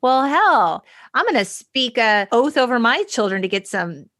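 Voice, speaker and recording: A woman's voice: on the high side, sing-song, moderate pace, a bit bright, no room sound, studio quality. A woman co-hosting a podcast, talking conversationally through her notes with a wry, playful manner. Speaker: podcast co-host. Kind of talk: conversational podcast talk with read-out notes and quotes.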